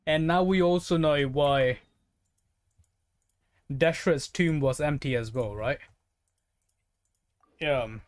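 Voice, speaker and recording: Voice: medium pitch, slow pace, long pauses, no echo. A game streamer giving casual gameplay commentary in a rambling, thinking-aloud way. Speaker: game livestreamer.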